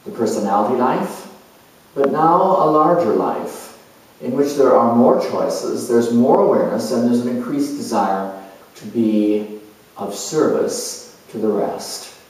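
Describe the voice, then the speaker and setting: A man giving a measured, explanatory lecture as an astrologer introducing a performance, halting and deliberate, with long pauses. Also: mid-range voice, expressive. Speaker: astrologer, presenter. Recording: very echoey room, distant mic.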